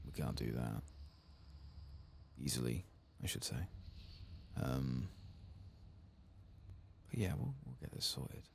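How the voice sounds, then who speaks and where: A man giving hushed, laid-back ASMR game commentary, speaking softly and casually close to the mic. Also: rumbling low. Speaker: ASMR gaming host. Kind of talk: soft-spoken and whispered ASMR game commentary. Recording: clear background noise and game ambience.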